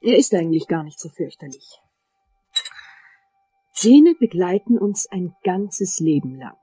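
An actress playing a composed, seductive dentist in a radio play, calm, soft and breathy. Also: female voice, lowish voice, unhurried, soft background music, full character voice, poor audio. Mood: intimate, reflective. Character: composed, seductive female dentist fascinated by teeth.

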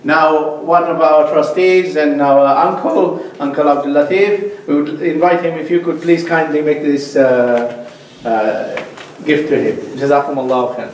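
A man hosting an event, giving a polite, halting introduction in a mosque meeting room. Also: on the high side, steady tone, darkish tone, a little hiss or hum. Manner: polite, halting, many fillers. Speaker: host introducing the speaker. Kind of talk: formal introduction. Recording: echoey room from far off.

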